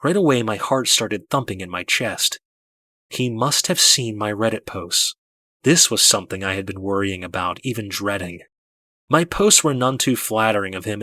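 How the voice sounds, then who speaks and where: A man narrating a first-person story from a script in a measured, dramatic storytelling manner. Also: on the low side, sharp tone, no room sound. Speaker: audiobook narrator.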